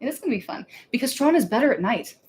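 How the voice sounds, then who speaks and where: A woman's voice: a little high, lively intonation, rapid-fire, darkish tone. A woman co-hosting a casual theme park live stream, chatty and laughing, rambling with restarts.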